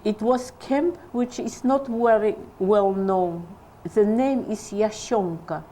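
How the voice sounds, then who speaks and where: Older woman recalling slowly. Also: mid-range voice, warm tone, a little hiss or hum. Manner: halting, searching for English words. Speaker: interviewee. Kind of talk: oral history testimony.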